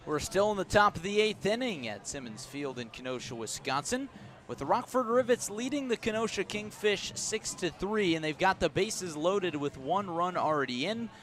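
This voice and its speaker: A man's voice: sits high, expressive, normal speed, a bit bright. A man doing live radio play-by-play of a baseball game as the announcer. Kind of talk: live radio sports commentary. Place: press box at an outdoor ballpark.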